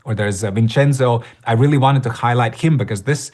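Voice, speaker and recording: A man's voice: mid-range voice, brisk, darkish tone. A middle-aged man from Latvia hosting a live online workshop, talking conversationally with frequent fillers and restarts. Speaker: workshop host. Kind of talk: live webinar presentation.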